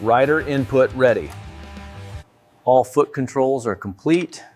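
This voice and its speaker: A man delivers scripted commentary in clipped, approving remarks. His voice is medium in pitch. Light music runs underneath. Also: slow pace, darkish tone. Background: background music.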